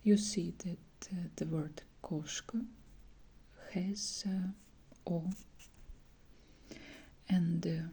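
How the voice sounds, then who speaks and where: Breathy whispering woman teaching slowly. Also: on the low side, fairly even intonation. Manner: slow, soft, hesitant with many fillers. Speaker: Russian language teacher. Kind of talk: whispered ASMR language lesson.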